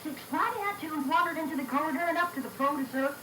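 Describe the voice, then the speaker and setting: Woman as Applejack, high-voiced storytelling pony, echoey tape. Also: fast, pushed and bright. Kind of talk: scripted fan-fiction narration. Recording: echoey, noisy reel-to-reel tape.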